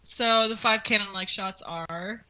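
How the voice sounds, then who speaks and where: A woman's voice: mid-range voice, unhurried, sharp tone, rough recording. A woman co-hosting a commentary in casual chat, informally reacting and prompting her partner. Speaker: co-host.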